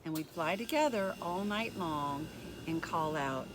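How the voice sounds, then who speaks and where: Expressive woman reading a children's story, lively pitch. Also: noisy background. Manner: deliberate, expressive, addressing young listeners directly. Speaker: children's story time host reading a picture book. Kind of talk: read-aloud storytelling for young children.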